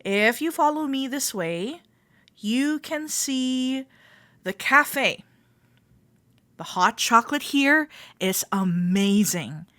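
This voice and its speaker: Woman acting friendly club member, higher-pitched, slow-paced, gentle, softly teasing, reassuring, roleplay audio for the listener. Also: animated, no echo. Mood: welcoming. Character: friendly, teasing female cuddle club member giving a tour.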